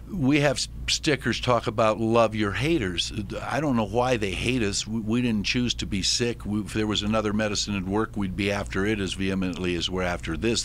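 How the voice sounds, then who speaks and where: A man speaking earnestly as a radio interview guest, halting often and restarting his sentences. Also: fairly low pitch, slight background noise. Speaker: guest, medical cannabis advocate.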